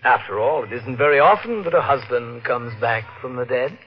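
Man acting smooth sly husband villain, sardonic n deliberate, 40s radio thriller, muffled. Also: medium pitch, close to an everyday voice. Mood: smugly menacing. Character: sly, mocking husband returned from presumed death. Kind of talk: 1940s radio mystery acting. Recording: muffled old radio recording.

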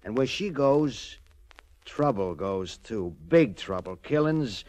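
An American actor playing a chummy, streetwise small-time informer in a 1940s radio crime drama, with slangy underworld talk. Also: male voice, mid-range voice, expressive, slow, a little mellow. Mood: confiding. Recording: old 1940s radio transcription.

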